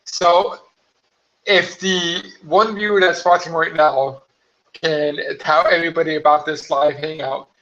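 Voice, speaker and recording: A hoarse man chatting casually on a live stream from home, rambling with many fillers. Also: a little high, fairly even intonation, a little slow, fairly bright tone. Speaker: vlogger hosting a live stream. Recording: slightly dull lo-fi live stream audio.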